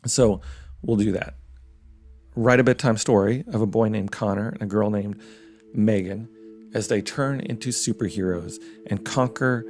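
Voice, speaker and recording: A man's voice: lowish voice, moderate pace, a little mellow, faint music behind. A middle-aged man hosting a casual, conversational demonstration in a musing way. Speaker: host.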